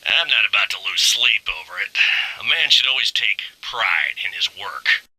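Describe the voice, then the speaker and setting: A man playing a proud, ruthless mech pirate, narrating a mission log in a deliberate, brooding way with hushed phrase endings. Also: fairly low pitch, animated, heavy background noise. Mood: menacing, self-satisfied. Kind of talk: scripted dramatic mission-log monologue.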